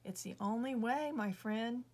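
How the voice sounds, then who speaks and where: A woman's voice: on the high side, a little slow, a little mellow. A woman voicing a loyal, helpful little prairie dog in a children's storybook, earnest and encouraging.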